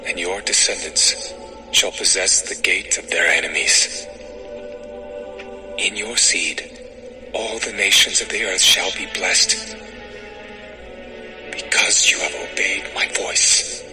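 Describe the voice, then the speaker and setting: A man's voice: low voice, fairly even intonation, slow, pauses often. A man playing a solemn, authoritative angel in a dramatized scripture recording, proclaiming in a stately manner. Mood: solemn blessing. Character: angel of the Lord, solemn authoritative male messenger. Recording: music under the voice.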